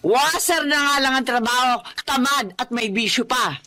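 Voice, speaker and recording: A woman's voice: high voice, normal speed, bright, forceful tone. An actress playing a blunt older mother and grandmother in a Filipino radio drama. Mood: scolding, exasperated.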